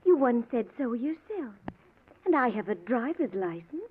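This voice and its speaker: A woman's voice: fairly high pitch, big pitch swings, normal speed. A woman acting in an old-time radio drama as an eager young sister, coaxing and pleading earnestly. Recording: tinny old radio recording, music.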